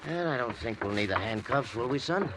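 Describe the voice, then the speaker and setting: An actor playing a businesslike, officious sheriff who questions people insistently in a 1940s radio drama. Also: male voice, medium pitch, expressive, a little fast, lightly acted. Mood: dry, official. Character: county sheriff, brisk, officious, drily insistent. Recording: faintly noisy old radio recording.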